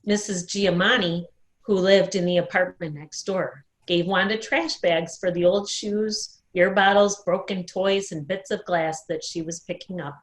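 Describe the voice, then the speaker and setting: A woman's voice: lowish voice, steady tone, unhurried. Woman reading a picture book aloud. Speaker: storyteller at a worship service. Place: dining room at home.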